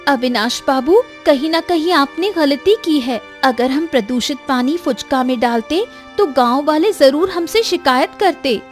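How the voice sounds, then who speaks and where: A woman's voice: high voice, a little slow. A woman voicing an earnest, polite young village stallkeeper in a cartoon moral story. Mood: firm, pleading. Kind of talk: animated moral story dialogue in Hindi. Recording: music behind the voice.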